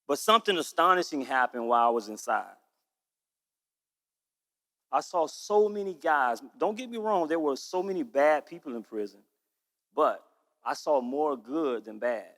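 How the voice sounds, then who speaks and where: A man in his forties, founder of a nonprofit, giving a rehearsed pitch speech in an earnest, storytelling way, slowing down on key points. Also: fairly bright tone. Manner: earnest storytelling with drawn-out emphasis. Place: stage in a large event hall. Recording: echoey hall.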